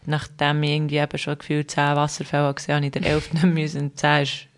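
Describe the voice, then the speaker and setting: A young woman speaking with a Swiss German accent, talking as a guest on a casual podcast. She rambles along in a fairly flat, even tone, circling back and restarting her sentences with plenty of fillers. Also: low-pitched, racing. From Switzerland. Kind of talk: casual conversational interview.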